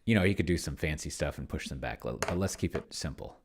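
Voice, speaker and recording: A man's voice: very deep voice, expressive, quick pace, background noise. A man giving casual, rambling commentary on a solo tabletop game, thinking aloud and muttering to himself as he types. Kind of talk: casual screen-recording commentary, thinking aloud while typing. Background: keyboard typing.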